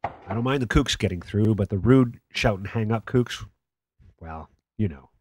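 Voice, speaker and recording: A man's voice: on the low side, lively intonation, moderate pace, breathy edge, no echo. A man playing a jaded, sarcastic call-in radio host in a radio play. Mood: sardonic. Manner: dry, sarcastic. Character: sardonic, jaded male conspiracy talk radio host. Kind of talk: radio play acting as talk radio.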